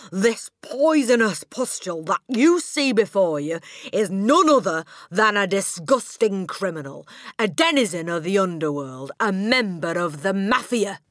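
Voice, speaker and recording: A woman's voice: sing-song, no echo, studio quality, heavily acted. A woman voicing a tyrannical, bullying headmistress in an audiobook, theatrical and menacing, with emphatic delivery. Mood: furious. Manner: booming, barking insults with drawn-out emphasis. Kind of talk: audiobook character acting.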